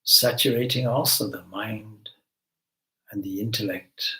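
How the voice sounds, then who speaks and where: A man leading a guided meditation as a spiritual teacher, giving gentle instructions with long pauses between phrases. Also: on the low side, steady tone, rough recording.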